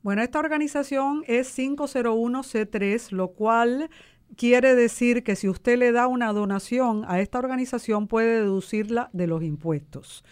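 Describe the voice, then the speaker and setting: A woman's voice: a little high, moderate pace, a little mellow. A woman who founded an arts organization, speaking as an interview guest and explaining things. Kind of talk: promotional interview talk, explanatory.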